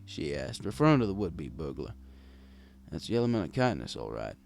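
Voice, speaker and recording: A man's voice: sits low, wildly swooping pitch, fairly quick, creaky, a little mellow. A man narrating a scripted first-person story as a world-weary, kindly old bartender. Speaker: audiobook narrator.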